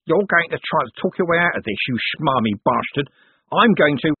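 A man's voice: medium pitch, very animated, normal speed, slightly rough, no room sound, pitched above the performer's own voice. Man as menacing British crook, spluttering, taunting, raised swooping voice, audiobook, telephone-like sound. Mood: bitter rage. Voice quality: spluttering, champing. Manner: taunting, colloquial British street talk. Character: menacing male crook, crude, bitter, spitting with rage. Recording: thin, phone-like band-limited sound.

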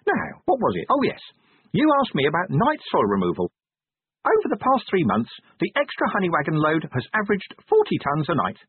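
A tiny, proud imp from a storybook, voiced by a man, chirpy and officious. It speaks high with swooping pitch and pedantic formality, over narrow, phone-like sound. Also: wildly swooping pitch, brisk, slightly rough, dry recording, heavily acted. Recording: narrow-band, phone-like audio.

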